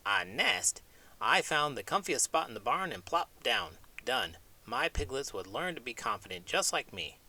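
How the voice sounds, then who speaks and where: A man's voice: mid-range voice, big pitch swings, moderate pace, gravelly, pushed and bright, pitched above the performer's own voice, exaggerated voice. A man voicing a cocky, carefree talking pig with a boastful, teasing manner in a children's show.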